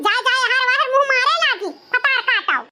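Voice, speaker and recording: A performer with a pitched-up, squeaky voice and an eastern Uttar Pradesh accent, voicing a melodramatic, complaining village woman in a comedy cartoon. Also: expressive, brisk, heavy background noise. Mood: scolding, accusing. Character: scolding village mother-in-law and wronged widowed daughter-in-law, cartoon women.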